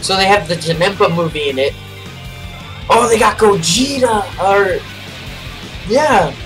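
A man's voice: sits high, animated, a little slow, fairly bright tone, distant mic. A young adult man giving casual live reaction commentary as a video host. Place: small bedroom, indoors. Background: trailer music.

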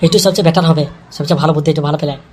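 Very fast-talking scheming henchman, a man acting. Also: on the high side, fairly even intonation, a little mellow, a little hiss or hum, natural voice. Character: boastful, scheming male henchman, eager to please. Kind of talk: amateur comedy cartoon dub.

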